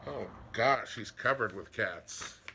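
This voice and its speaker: A man reading a picture book aloud as a storyteller, dramatic and expressive, with asides to listeners. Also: lowish voice, takes it slowly, gravelly, a little hiss or hum.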